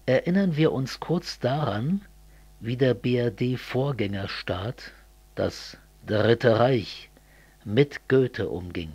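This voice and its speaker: A middle-aged man from East Germany speaking in a scripted political dialogue, didactic and deliberate. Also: mid-range voice, darkish tone, husky, dry recording.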